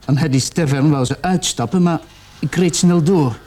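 An actor playing a distraught young man in a radio play, confessing haltingly and repeating his words in agitation. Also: a little high, dark tone, soft background music, close to an everyday voice. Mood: remorseful, shaken.

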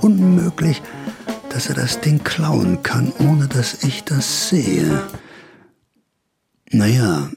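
Male narrator for radio drama: wry, theatrical, gravelly edge, medium pitch, music underneath. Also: sing-song, takes it slowly, pauses often. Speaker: narrator and interviewer. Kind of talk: scripted first-person radio play narration.

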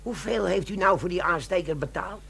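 An actor playing a wily, brazen army sergeant, a chummy smooth talker in a comic radio play. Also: male voice, high voice, a little fast, a bit bright, full character voice. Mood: cheeky. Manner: cheeky, chummy, feigned innocence.

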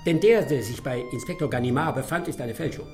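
A man acting a smug, courteous English gentleman detective in a German radio drama, dryly ironic and savouring each phrase. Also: mid-range voice, quick pace, faint music behind, close to an everyday voice. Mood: businesslike, determined.

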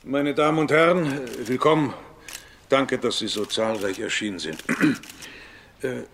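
An actor playing a cautious police chief giving a stiff, hesitant press statement in a radio drama. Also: male voice, medium pitch, a little slow, fairly bright tone, a little hiss or hum. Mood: formal, guarded.

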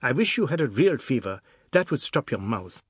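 A man's voice: high-pitched, big pitch swings, normal speed, breathy edge, dry recording, pitched above the performer's own voice, exaggerated voice. A man reading a novel aloud, voicing an impatient wife who talks bluntly and scolds. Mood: scolding.

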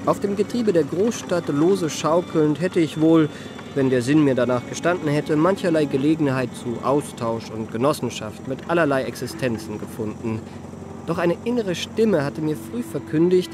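A man's voice: a little high, noisy background, natural voice. An actor playing an elegant young male confidence trickster who recounts his life in ornate, self-satisfied, mannered formal language for a literary radio play. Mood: self-regarding, lofty. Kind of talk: literary first-person radio play narration. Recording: music behind the voice.

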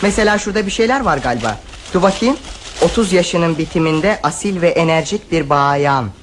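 A man's voice: on the high side, animated, fairly quick, a little hiss or hum, close to an everyday voice. An actor in a radio play voicing an impulsive, clumsy young boy who is eager to propose ideas.

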